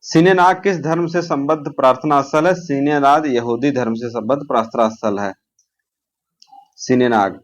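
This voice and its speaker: A man's voice: mid-range voice, normal speed. A man teaching an informal exam-prep lesson, posing questions, answering them himself and repeating key terms.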